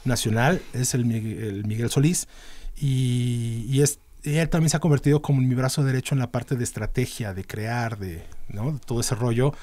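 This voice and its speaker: A man's voice: medium pitch, normal speed, darkish tone. A middle-aged man with a Mexican accent, a band manager talking through his work as a podcast guest, with lots of fillers and restarts as he explains. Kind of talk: casual interview talk. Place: small dim podcast studio. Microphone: studio mic on a boom arm.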